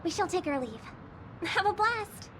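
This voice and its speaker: A woman's voice: extremely high pitch, very animated, measured pace, fairly bright tone, slight background noise, exaggerated voice. A woman voicing a polite, cheerful hostess in a video game. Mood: courteous, bright.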